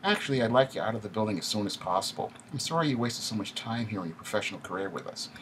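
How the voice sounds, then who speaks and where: A man's voice: on the low side, lively intonation, very fast, a bit bright, a little hiss or hum, voice pushed up, rough recording. A man voicing a smug, elitist male company executive who is cold and falsely polite. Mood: cold dismissive.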